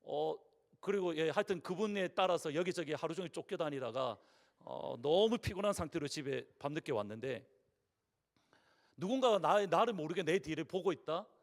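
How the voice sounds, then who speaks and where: A man with a Gyeongsang (Busan) accent preaching a Sunday sermon as pastor. His voice is hoarse, and he tells stories earnestly and asks the congregation rhetorical questions. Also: on the high side, expressive, moderate pace. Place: large church sanctuary. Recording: long reverb of a church hall.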